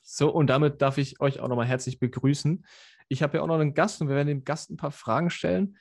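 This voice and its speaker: A man's voice: a little high, fairly even intonation, fast talker, gravelly, darkish tone. Twenties male interviewer, hesitant questions full of fillers. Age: 20s. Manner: hesitant, many fillers when asking questions. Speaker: podcast co-host and interviewer.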